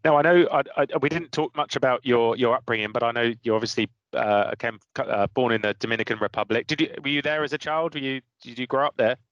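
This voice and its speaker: A man's voice: medium pitch, quick pace, few pauses, a bit bright, no room sound, dull audio. Male interviewer, dry close sound. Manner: repeats words as he frames questions. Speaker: podcast host, interviewer. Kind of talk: interview questions.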